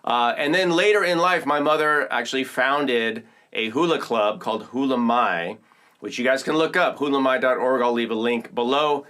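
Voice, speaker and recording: A man's voice: mid-range voice, normal speed, sharp tone. Upbeat male percussion teacher. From Oakland, California. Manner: upbeat and enthusiastic, addresses viewers directly. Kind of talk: casual demonstration tutorial.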